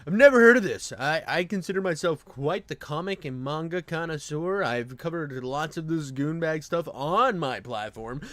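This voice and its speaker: A man's voice: a little high, expressive, moderate pace, hardly any pauses, fairly bright tone, no room sound. A man giving casual reaction commentary as host, brash and slangy, with a jokingly exasperated manner.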